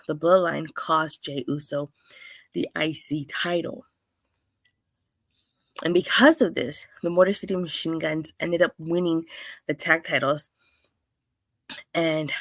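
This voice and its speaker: Hoarse, low-voiced woman reviewer. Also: no room sound. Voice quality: clogged, stuffy voice. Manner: chatty, rambling, with many restarts and fillers. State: hoarse, under the weather. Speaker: wrestling show reviewer, host. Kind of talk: casual solo review talk.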